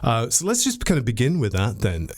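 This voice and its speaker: A man with a Scottish accent, a former teacher, hosting a podcast with an upbeat, clear presenter delivery. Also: medium pitch, huge pitch swings, fairly quick, darkish tone, no room sound, clean studio sound. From Scotland. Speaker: podcast host. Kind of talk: scripted intro and interview hosting.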